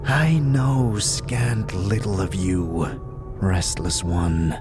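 A man voicing an old, dying, weary scribe in an audio drama, frail and breathy, speaking slowly and solemnly in archaic phrasing. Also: sits low, fairly even intonation, over music, full character voice. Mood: solemn warning. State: sickly, coughing.

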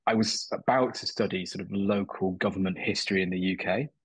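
A man from Manchester talks as a guest, relaxed and self-deprecating, pausing on fillers as he goes. His voice sits low and a little husky, and the sound is close and dry. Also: no echo. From Manchester, England. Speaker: guest, documentary maker. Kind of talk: casual interview chat.

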